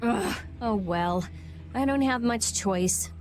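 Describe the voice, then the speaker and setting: Irritable, slow-drawling teen girl. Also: a little high. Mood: annoyed, grudging. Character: irritable, sharp-tongued teenage girl scholar. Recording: music under the voice.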